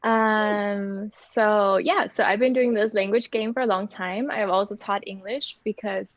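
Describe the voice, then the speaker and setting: A woman's voice: mid-range voice, steady tone, measured pace, no room sound. A woman who grew up between Denmark and Germany introduces herself as a language teacher, chatty and a little self-deprecating. Her voice comes through a dull, muffled video call with a dry, close sound.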